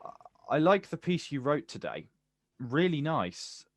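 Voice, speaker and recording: A man acting a watchful, quietly wounded poet in a naturalistic stage play, speaking deliberately in terse, repeated phrases. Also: on the high side, sing-song, no room sound, clean studio sound, exaggerated voice. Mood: awkward, gentle.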